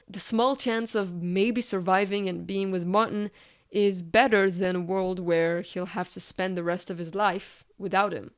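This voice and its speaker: A woman's voice: medium pitch, clean tone. A woman video essayist reading scripted analytical narration.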